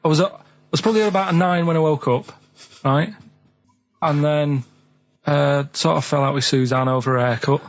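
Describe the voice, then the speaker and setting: A man with a Manchester accent chatting casually on the radio in a halting, deadpan, literal-minded ramble. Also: on the high side. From Manchester, England. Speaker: radio show co-host. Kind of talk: casual chat. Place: radio studio. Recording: dull lo-fi radio recording.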